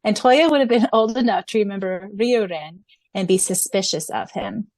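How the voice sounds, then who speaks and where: A gushing, excitable woman co-hosts a fan podcast, laughing often as she reads the answers. Her voice sits at a middle pitch. It is recorded close and dry. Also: moderate pace, dry recording. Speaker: podcast co-host. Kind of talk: casual fan podcast chat.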